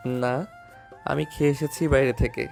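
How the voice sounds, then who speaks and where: A man's voice: mid-range voice, fairly even intonation, a little slow, vocal fry, a little mellow. A man playing a playful, caring young husband and father in a romantic audio drama. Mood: soft, casual. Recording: music under the voice.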